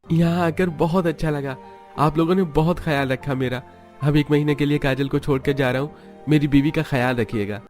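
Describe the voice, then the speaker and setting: A man plays a young husband visiting his in-laws in a cartoon. The pitch is lifted, the manner polite but demanding. Light music is underneath. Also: fairly even intonation, moderate pace, a little mellow, lightly acted. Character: self-assured young Indian son-in-law, polite but demanding. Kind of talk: Hindi cartoon story acting.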